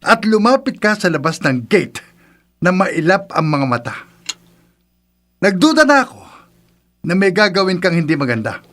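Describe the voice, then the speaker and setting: An actor playing a hot-tempered, suspicious brother-in-law in a radio drama, confrontational and emphatic. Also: male voice, high voice, sing-song, moderate pace, pauses often, fairly bright tone. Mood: suspicious, threatening. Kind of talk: Filipino radio drama acting.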